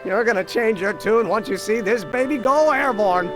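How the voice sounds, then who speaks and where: A man's voice: high-pitched, normal speed, over music, close to an everyday voice. An actor voicing a stubborn, melodramatic young male inventor in a video game, theatrical and emphatic. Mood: excited.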